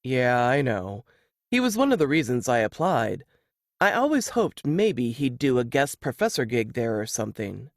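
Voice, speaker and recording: A man's voice: mid-range voice, sing-song, normal speed, no room sound, studio quality, voice pushed down. A woman voicing a shy, nervous young male grad student in an audiobook story, hesitant and polite. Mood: bashful, reserved. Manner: hesitant, embarrassed, apologetic. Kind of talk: audiobook character dialogue.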